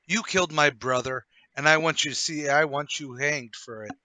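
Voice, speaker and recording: Forceful, bright-voiced man acting as a bitter gentleman. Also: fairly high pitch, normal speed, no room sound. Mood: angry, accusing. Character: bitter, impatient gentleman demanding vengeance for his murdered brother. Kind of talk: mystery radio play table read.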